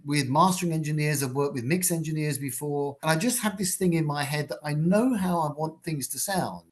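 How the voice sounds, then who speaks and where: An older man from Britain, a guitarist and producer, giving thoughtful, rambling interview answers in a fairly low voice with many fillers.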